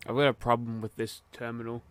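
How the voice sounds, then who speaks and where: A man's voice: mid-range voice, monotone, quick pace, clear, resonant tone. Dry, joking man gaming. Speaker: gamer, channel host. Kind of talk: casual chat while gaming.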